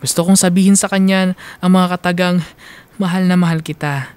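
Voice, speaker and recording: A man's voice: high-pitched, steady tone, brisk, a little mellow, clear, resonant tone. A man reading a scripted first-person radio story in a mournful, sentimental manner. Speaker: radio drama narrator.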